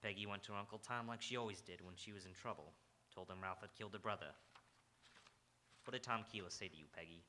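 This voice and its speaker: Man playing a forceful, low-voiced hard-boiled detective. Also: quick pace. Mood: grim. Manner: terse, dramatic delivery. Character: hard-boiled male Broadway detective, blunt and world-weary. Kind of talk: old-time radio crime drama acting. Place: auditorium stage, live radio theatre. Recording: live stage recording with faint noise.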